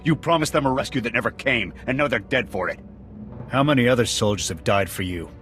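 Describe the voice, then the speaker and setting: An actor playing a gruff, proud military officer in a video game, terse and clipped in delivery. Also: male voice, mid-range voice, lively intonation, fairly quick, fairly bright tone, natural voice. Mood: angry, accusing. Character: gruff, proud male military sniper officer, loyal but hot-tempered. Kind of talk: video game dialogue acting. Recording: music behind the voice.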